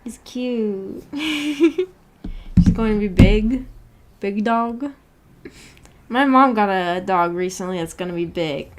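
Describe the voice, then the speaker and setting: Woman, mid-pitched voice, livestream host, casual chat, giggly, unhurried, rambling. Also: a little hiss or hum. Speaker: livestream host of a visual novel book club. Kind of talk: casual livestream chat, replying to viewer comments.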